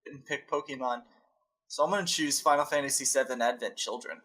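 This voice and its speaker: A man's voice: medium pitch, a little fast, fairly bright tone. A man talking casually as a co-host in a podcast chat.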